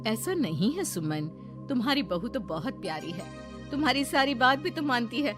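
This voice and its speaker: A woman voicing a kind, gentle older neighbour in a Hindi moral cartoon, courteous and gently admonishing. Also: on the high side, very animated, moderate pace, over music, voice pushed up.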